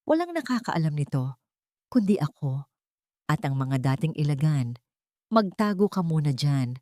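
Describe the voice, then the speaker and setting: A woman's voice: sits low, big pitch swings, normal speed, darkish tone, no echo, clean studio sound. A woman narrator voicing a loyal, protective elderly male butler giving urgent, secretive instructions in an audio drama.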